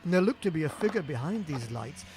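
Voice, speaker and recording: A man's voice: on the high side, big pitch swings, quick pace, noisy background. A man narrating a scripted gothic horror tale in a theatrical, suspenseful storytelling manner with swelling emphasis. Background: music.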